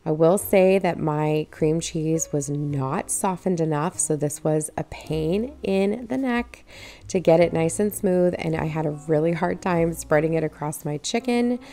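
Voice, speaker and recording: A woman narrating her home cooking video in a relaxed, step-by-step way, walking viewers through each recipe stage. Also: low-pitched, unhurried. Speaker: cooking channel host.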